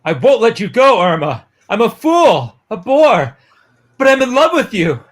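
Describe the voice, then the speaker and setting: A man playing a lovestruck, hot-headed young boyfriend in a romance comic reading, with halting, melodramatic delivery. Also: very high voice, sing-song, heavily acted. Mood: pleading.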